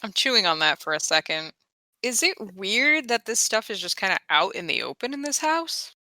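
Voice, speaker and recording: A woman's voice: mid-range voice, sing-song, moderate pace, bright, forceful tone, no echo, clean studio sound. A woman playing a tabletop game, speaking casually as she describes and questions her moves.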